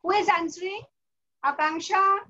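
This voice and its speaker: A woman's voice: very high voice, fairly even intonation, moderate pace, pushed and bright, dull audio. A woman teaching an online class for children, patient and repetitive, checking with "okay?" often. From India. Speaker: school teacher.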